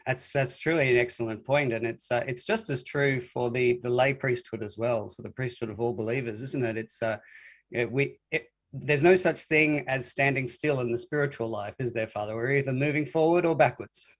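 A man's voice: medium pitch, moderate pace. A man hosting a faith podcast, asking questions in a conversational way with a few hesitations. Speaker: podcast host. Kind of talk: podcast interview.

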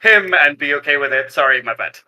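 A man's voice: fairly high pitch, animated, a little fast, bright, forceful tone. A man chatting casually as a player in a tabletop role-playing game, improvising scenes.

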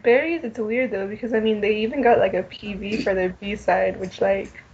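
A woman's voice: medium pitch, fairly even intonation, normal speed, vocal fry, darkish tone. A woman co-hosting a podcast in casual fan chat, talking in an enthusiastic, slangy, giggly way. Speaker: podcast co-host. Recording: muffled lo-fi audio with background noise.

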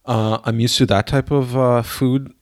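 A man who grew up in Israel, chatting casually on a podcast, pausing often with fillers and restarts. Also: medium pitch, fairly even intonation, dry recording, studio quality. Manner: hesitant, many fillers and restarts. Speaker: podcast co-host. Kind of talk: casual chat.

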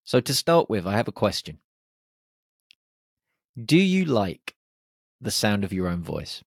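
A man hosting his own podcast, talking alone in a lively, animated way with plenty of exclamations. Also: on the low side, measured pace, creaky, no room sound, clean studio sound. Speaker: podcast host. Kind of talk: solo podcast monologue. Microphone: podcast microphone.

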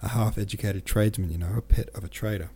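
A man voicing a gossipy, smiling adjutant in an audiobook, talking smoothly and at length. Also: lowish voice, fairly even intonation, fast talker, dark tone. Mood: chatty. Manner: smooth, talkative storytelling. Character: smiling gossipy male Russian adjutant, chatty.

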